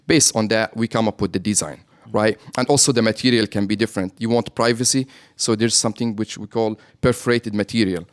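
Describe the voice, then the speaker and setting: A man with a Lebanese accent, a business owner talking as a guest in a radio interview, who often checks in with "right?". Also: fairly low pitch. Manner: explains with frequent "right?" tags.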